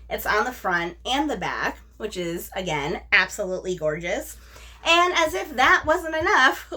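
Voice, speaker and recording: A woman's voice: fairly high pitch, bright, forceful tone. A woman hosting a book review video, chatting casually and enthusiastically to her viewers. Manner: enthusiastic, gushing.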